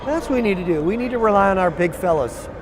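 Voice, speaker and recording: A hoarse man with a raspy voice doing live, partisan color commentary for a basketball game. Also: high-pitched, lively intonation, fast, background noise. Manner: partisan, cheers on one team with "we". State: hoarse, tired voice.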